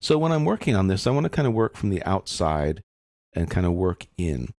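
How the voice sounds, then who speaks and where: A man narrating a software tutorial in a casual, methodical way, explaining each step as he goes. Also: deep voice, very animated, a little fast, no echo, studio quality. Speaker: software instructor.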